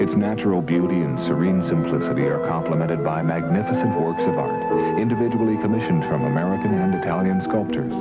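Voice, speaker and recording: A man narrating a formal scripted television commercial in a solemn, measured manner. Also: deep voice, low-quality recording. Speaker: commercial announcer. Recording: vintage TV spot, loud music bed.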